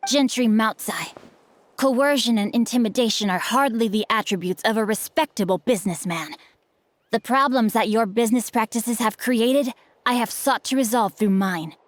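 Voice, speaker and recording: A woman's voice: fairly high pitch, moderate pace, no room sound, close to an everyday voice. A woman voicing a studious, polite young nobleman swordsman in a video game, formal, composed and slyly teasing. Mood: coolly intimidating. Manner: formal, archaic, eloquent, composed. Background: background music.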